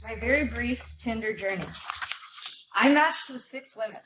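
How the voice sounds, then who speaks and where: Woman presenter and reader, higher-pitched, live event, slightly dull sound with faint noise. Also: animated, normal speed, a bit bright. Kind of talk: live dramatic reading of memoir. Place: indoor event before an audience. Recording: dull live recording, noticeable room noise.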